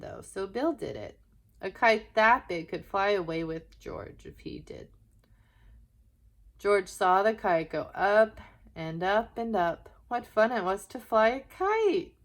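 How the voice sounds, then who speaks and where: A woman narrating a children's picture book in an expressive way, with question-asking asides to young listeners. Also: medium pitch. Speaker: children's story reader.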